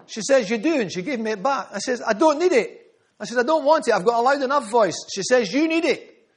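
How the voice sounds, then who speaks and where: High-voiced preacher. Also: male voice, expressive, brisk. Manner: earnest, storytelling, repeats phrases for emphasis. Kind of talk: sermon.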